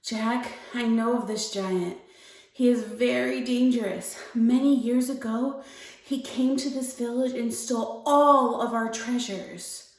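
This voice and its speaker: A worried village mother from a fairy tale, played by a woman storyteller gives careful warnings. Her voice is a bit high and unhurried, with heavy room echo around it. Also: steady tone. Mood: worried, grave. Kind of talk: children's storybook reading. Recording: echoey room, distant mic, music.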